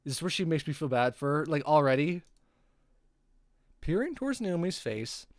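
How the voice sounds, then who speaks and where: Animated woman streamer reading a game aloud. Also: low voice, dry recording, studio quality. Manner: chatty, reacts to the story aloud. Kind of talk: live visual novel read-aloud with casual commentary.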